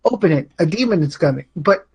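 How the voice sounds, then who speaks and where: A man's voice: a little high, expressive, brisk, warm tone, breathy edge. A man talks casually as a guest on a live show. He feels his way through what he says, thinking aloud. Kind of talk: casual chat.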